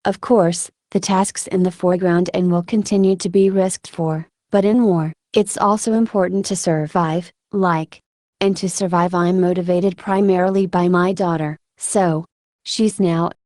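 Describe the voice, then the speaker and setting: Mellow-voiced female soldier voiced by a woman. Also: lowish voice, no echo, studio quality, natural voice. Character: adult female combat medic, a steadfast soldier and mother. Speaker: dubbing voice of an interviewee. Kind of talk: interview answers in translated voice-over.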